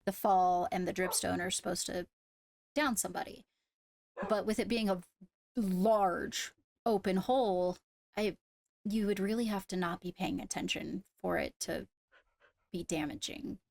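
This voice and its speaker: A woman speaking in a measured, deliberate way with formal phrasing during an improvised roleplay discussion. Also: medium pitch, gravelly, a little mellow, no room sound. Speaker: roleplay streamer playing a kingdom member.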